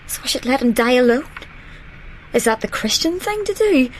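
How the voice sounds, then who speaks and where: Actress as an anguished young woman, high voice. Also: big pitch swings, moderate pace, background noise. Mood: strained, defensive. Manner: pleading, defensive, emotionally strained. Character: anguished, protective young woman caring for her dying partner. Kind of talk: radio play acting.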